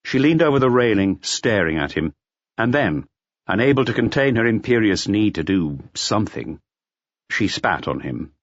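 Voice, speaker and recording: A man with a lowish voice narrates a mystery audiobook expressively, with animated intonation. The sound is dry and close, on a slightly dull, lo-fi recording. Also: moderate pace, no echo.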